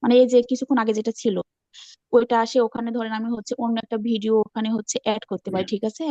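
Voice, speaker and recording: A woman's voice: on the high side, steady tone, fast talker, mellow, no room sound, dull audio. A woman eagerly explaining from her own experience in a casual group call, often repeating herself. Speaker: class participant. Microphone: online call.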